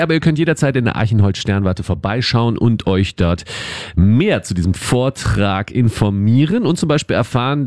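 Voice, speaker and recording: A man's voice: medium pitch, darkish tone, no room sound. A man speaking as a radio co-host, chatting casually.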